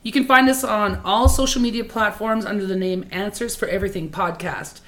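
A woman's voice: mid-range voice, fairly even intonation, fairly quick, a bit bright. A woman hosting a podcast, reading its welcome and follow notes. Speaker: podcast host. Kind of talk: scripted podcast intro.

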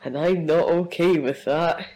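A woman's voice: sits low, unhurried, a little mellow, low-quality recording. A woman with a cold, leaving a heartfelt, sweary voice message for a host. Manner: heartfelt, sweary, laughing through tears. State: full of a cold, rough-sounding. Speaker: listener sending a voice message.

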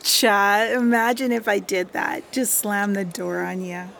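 A blunt, wry woman who works at a clinic and needles old friends. Her mid-pitched voice is dry and casual, in clipped, natural radio drama delivery. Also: a little slow, creaky, a bit bright, slight background noise. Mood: warmly teasing. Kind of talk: naturalistic audio drama acting.

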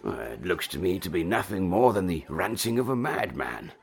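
A man playing a gruff, skeptical Victorian detective's companion in a fiction podcast, blustery and dismissive. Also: deep voice, sing-song, moderate pace, noisy background, exaggerated voice.

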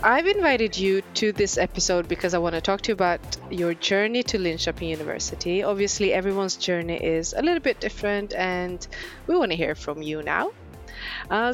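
A woman hosting a podcast, asking interview questions in a conversational style. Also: mid-range voice.

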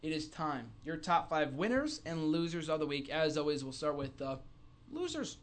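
This is a man in his early twenties with a slightly high voice. He talks casually as an enthusiastic wrestling fan hosting a show, often repeating a phrase for emphasis. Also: sing-song, normal speed, a little hiss or hum. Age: early 20s. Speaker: co-host of a pro wrestling fan podcast. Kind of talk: casual sports fan chat.

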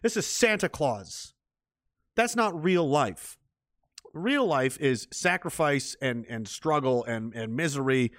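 A man's voice: mid-range voice, lively intonation, slow, a bit bright, no echo, clean studio sound. A man hosting a livestream, rambling in casual, heated and profane commentary. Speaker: livestream host.

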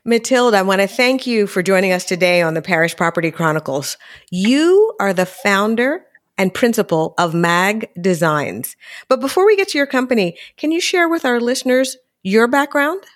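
A woman's voice: medium pitch, very animated, moderate pace. A woman hosting a property podcast, reading formal introductions and asking interview questions. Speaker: podcast host.

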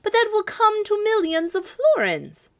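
A woman voicing an alarmed crowd of townsfolk who exclaim in shrill, loud voices. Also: huge pitch swings, a little slow, heavily acted.

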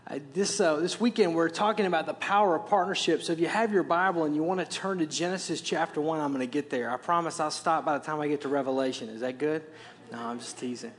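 A senior pastor with a Wisconsin accent preaches in a conversational way, with joking asides and repeated words. His voice sits high for a man. It carries a long reverb from a large church auditorium. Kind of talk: sermon.

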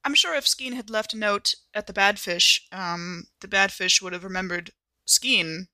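Bright-voiced woman playing a tabletop game. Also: mid-range voice, moderate pace, no echo. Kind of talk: casual in-character improvised chat.

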